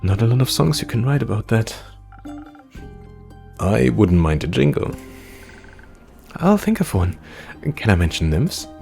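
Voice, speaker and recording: Man voicing an eager, gentle, lilting fantasy ranger. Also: on the low side, very animated, measured pace, gravelly, pauses often, soft background music, pitched above the performer's own voice, full character voice. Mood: musing. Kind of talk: reading video game dialogue aloud in character.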